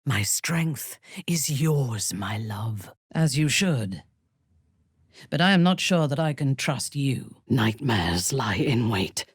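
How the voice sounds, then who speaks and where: A woman playing a proud, ruthless female dark elf warrior in a video game, speaking in a cold, commanding, formally measured manner. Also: a bass voice, expressive, slightly rough, no room sound, studio quality, heavily acted. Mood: hushed, commanding. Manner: imperious, measured, formal phrasing.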